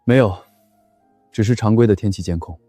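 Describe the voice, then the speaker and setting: An actor playing a stern young company boss, formal and clipped, secretly worried, in an animated drama. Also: male voice, lowish voice, fairly quick, over loud music, close to an everyday voice. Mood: cool, controlled. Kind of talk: animated short drama acting.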